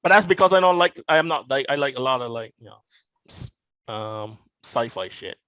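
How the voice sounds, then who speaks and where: A man's voice: mid-range voice, lively intonation, a little fast, sharp tone, smooth, no room sound. A man from Barbados co-hosting a podcast, chatting loosely and swearing freely. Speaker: podcast co-host. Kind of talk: casual chat.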